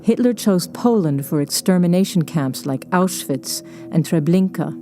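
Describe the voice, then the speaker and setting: A woman's voice: lowish voice, lively intonation, normal speed, dark tone, soft background music. A woman reporter narrating a scripted radio documentary.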